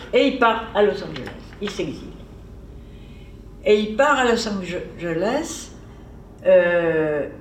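Woman, seminar speaker, low voice, digressive lecturing, distant mic, roomy echo. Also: a little hiss or hum. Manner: digressive, conversational, thinking aloud. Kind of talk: informal academic lecture. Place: seminar room. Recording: echoey room, mic far off.

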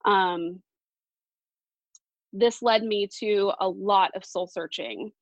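A woman around forty, a podcast guest telling a personal story in an earnest, halting way with many fillers. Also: medium pitch, steady tone, no room sound.

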